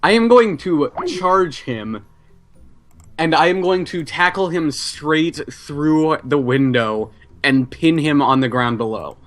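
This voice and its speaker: A man's voice: fairly high pitch, takes it slowly, a bit bright, faint music behind. A man playing a tabletop roleplaying game, chatting casually and thinking aloud with some hesitation.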